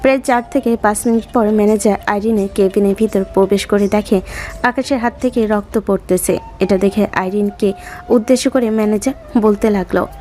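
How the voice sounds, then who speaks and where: Woman storyteller, mid pitch, scripted drama narration, soft music behind. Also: steady tone, fairly quick. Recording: music under the voice.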